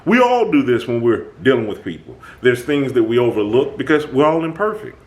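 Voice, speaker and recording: Animated man with a booming voice. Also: a little fast. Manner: animated, emphatic, many restarts. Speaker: channel host. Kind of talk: reflective self-talk monologue.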